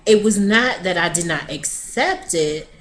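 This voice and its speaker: A woman's voice: on the low side, expressive, measured pace, fairly bright tone. Thirtysomething woman vlogging, unsure, keeps restarting, faint hiss behind. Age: 30s. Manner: hesitant, candid, restarts sentences. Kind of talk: personal video diary.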